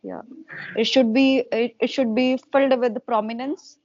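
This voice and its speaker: A woman's voice: a little high, fairly even intonation, moderate pace, a little mellow, muffled recording. A woman hosting a casual English conversation practice, speaking fluently and reflectively with fillers like "you know". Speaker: host, English conversation partner.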